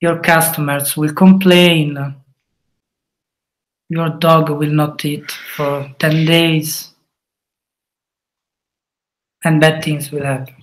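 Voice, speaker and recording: Slow halting Italian man demoing code. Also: a little high, flat delivery, low-quality recording. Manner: halting, thinks aloud with fillers. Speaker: webinar presenter. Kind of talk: live coding walkthrough.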